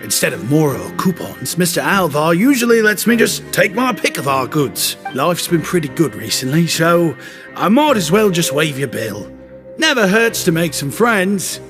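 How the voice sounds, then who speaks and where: A man voicing a flattering young shopkeeper who talks in an effusive, ingratiating way. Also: fairly high pitch, moderate pace. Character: flattering young male shopkeeper, smooth talker. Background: game music.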